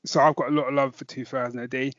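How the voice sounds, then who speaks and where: A man's voice: medium pitch, flat delivery, fast talker, fairly bright tone, no echo, highs cut off. A middle-aged British man co-hosting a podcast review in an informal, rambling, conversational way. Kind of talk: casual chat podcast review.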